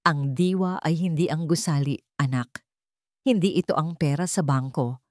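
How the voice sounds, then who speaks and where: A woman's voice: sits low, moderate pace, a little mellow, dry recording, studio quality, lightly acted. A woman voicing a wise, wealthy elderly father in a story, earnest and encouraging. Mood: solemn.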